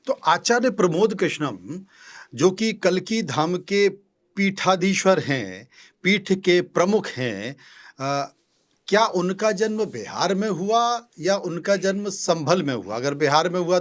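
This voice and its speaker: A man with a fairly high-pitched voice conducts a broadcast news interview. He puts probing questions to the person opposite him. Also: lively intonation, slow.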